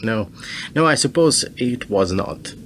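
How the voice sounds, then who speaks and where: Man playing a low-voiced, probing foreign diplomat. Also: animated, measured pace, a bit bright. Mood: cool, probing. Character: male foreign diplomat, suave, probing, coolly polite interrogator. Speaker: actor in a radio play. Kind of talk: radio drama acting. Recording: noisy radio recording with background ambience.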